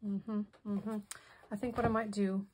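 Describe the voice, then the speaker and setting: A hoarse woman demonstrating a craft project in casual tutorial style, softly thinking aloud and drifting into whispered asides. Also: mid-range voice, little pitch movement, unhurried, very noisy. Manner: soft-spoken, musing, drifts into whispered asides.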